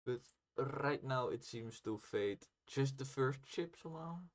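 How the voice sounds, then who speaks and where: A man's voice: mid-range voice, lively intonation, a little slow. A man chatting casually on a game development livestream, muttering to himself as he thinks aloud while working.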